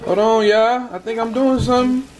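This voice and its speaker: A man's voice: very high-pitched, steady tone, normal speed. A man with an American accent chatting casually as an amateur home cook, muttering and repeating himself in bewilderment. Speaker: amateur vlogger cooking at home. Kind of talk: casual vlog chat. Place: small home kitchen, indoors. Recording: kitchen clatter and sizzling noise.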